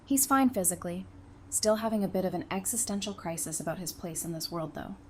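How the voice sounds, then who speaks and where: A woman's voice: on the low side, brisk, a little hiss or hum, natural voice. A woman playing a level-headed, supportive, teasing wife and mother in an audio drama, speaking frankly and conversationally. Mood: thoughtful, frank.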